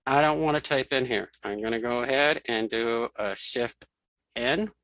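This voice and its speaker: A man giving a casual, step-by-step software tutorial, informally narrating what he does as he goes. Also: mid-range voice, normal speed. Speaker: tutorial host.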